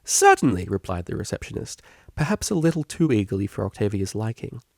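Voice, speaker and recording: A man reading scripted fiction aloud as a narrator, with a creaky voice. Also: lowish voice, lively intonation, fairly quick, warm tone, dry recording, studio quality. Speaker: audiobook reader.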